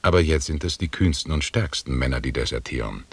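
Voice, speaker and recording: An actor playing a stern, commanding expedition leader in a German radio drama, speaking with measured authority. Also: male voice, extremely low pitch, breathy edge, no room sound, exaggerated voice. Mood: grim.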